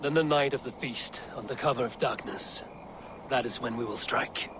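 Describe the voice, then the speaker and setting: An actor playing a fierce, vengeful Norse war leader in a video game, commanding and solemn. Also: male voice, mid-range voice, moderate pace, background noise, lightly acted. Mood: grim, resolute. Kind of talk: dramatic video game acting.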